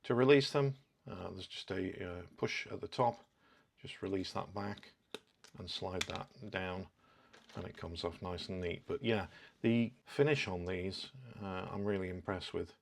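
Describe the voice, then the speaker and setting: A man's voice: low-pitched, unhurried, vocal fry. A man presenting a product in a casual, informal way, explaining things step by step as he demonstrates. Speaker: product review host. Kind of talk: casual unboxing and fitting demonstration.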